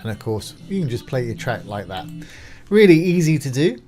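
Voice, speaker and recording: A man's voice: medium pitch, big pitch swings, normal speed, dark tone, soft background music. A man presenting a casual step-by-step screencast tutorial, informal and reassuring as he explains each step while doing it. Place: voice-over of a screen recording.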